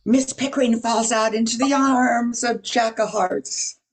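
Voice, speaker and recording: A woman's voice: fairly high pitch, fairly even intonation, a little slow. An older woman reading scripted narration for an amateur old-time radio drama.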